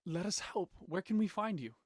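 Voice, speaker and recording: Woman as desperate male searcher, radio thriller: insistent, pleading, very animated, dry studio sound. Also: medium pitch, moderate pace, slightly rough, dry recording. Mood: urgent, pleading. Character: John, frantic, pleading man searching for a friend. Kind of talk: audio thriller acting.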